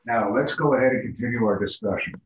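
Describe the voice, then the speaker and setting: A man teaching an IELTS preparation class in a measured, emphatic way. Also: mid-range voice, muffled recording, slight background noise. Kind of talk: classroom instruction.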